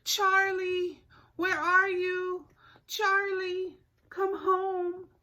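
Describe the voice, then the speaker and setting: A woman reading a storybook, voicing an anxious young girl looking for a lost cat, with loud, high calls. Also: little pitch movement, heavily acted. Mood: worried calling. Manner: loud, drawn-out calling.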